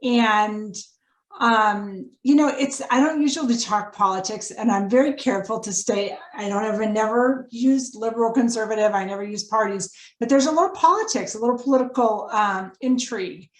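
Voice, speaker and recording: A woman's voice: a little high, normal speed. Woman guest author in a roomy echo. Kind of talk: casual chat. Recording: roomy, echoing sound.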